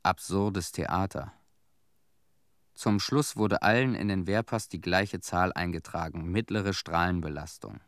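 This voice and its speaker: An actor playing a weary, bitter former cleanup worker who recalls events in a terse, sober monologue for a German radio play. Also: male voice, deep voice, unhurried, dry recording, studio quality. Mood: bleak, matter-of-fact.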